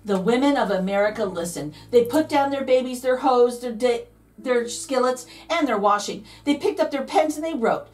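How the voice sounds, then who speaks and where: A woman's voice: on the high side, expressive, normal speed, darkish tone, distant mic. A woman reading a picture book aloud to children, dramatic and playful, drawing out key words for emphasis. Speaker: read-aloud host, storyteller.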